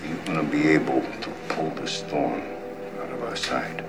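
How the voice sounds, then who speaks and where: An actor playing a menacing older mob boss who speaks slowly and deliberately, with quiet threat. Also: male voice, a bass voice, steady tone, vocal fry, heavy background noise. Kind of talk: film dialogue. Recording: film soundtrack with music underneath.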